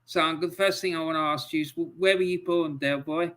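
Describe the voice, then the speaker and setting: A man's voice: a little high, fairly even intonation, fairly quick, a bit bright. A man hosting a casual interview podcast, reading out viewer comments in a chatty way with lots of fillers. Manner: chatty, laughs often, filler-heavy. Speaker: podcast host.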